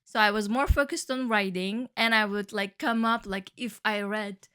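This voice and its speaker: A young woman from Northern Cyprus, a native Turkish speaker, musing softly about how she started creating. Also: medium pitch, fairly even intonation, normal speed, clean tone. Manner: soft, musing, many fillers. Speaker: musician sharing her story. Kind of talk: reflective personal monologue.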